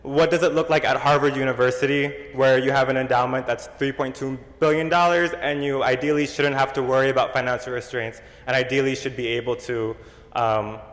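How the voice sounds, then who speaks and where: A young man from the audience asking a loud, halting question, full of ums and restarts. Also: medium pitch, fairly even intonation. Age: young adult. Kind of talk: question from the floor. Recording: echoey hall, long reverb.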